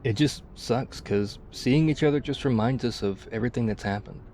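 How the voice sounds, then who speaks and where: A man playing a battle-hardened, weary zombie apocalypse survivor in an intimate ASMR roleplay, guarded but quietly caring, speaking in a hushed, measured way. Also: medium pitch, a little hiss or hum, lightly acted. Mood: weary, confiding. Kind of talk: ASMR audio roleplay, intimate one-sided dialogue.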